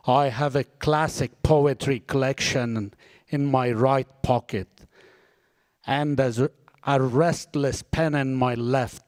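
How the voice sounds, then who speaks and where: A man from Afghanistan with as his recites a poem slowly and deliberately, each phrase given room. His voice is dry and close on a handheld microphone. He sounds middle-aged and a little hesitant. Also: mid-range voice, darkish tone, no room sound. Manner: hesitant, halting delivery. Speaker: exiled writer reading a poem. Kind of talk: poetry recitation at a panel. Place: seminar hall with audience.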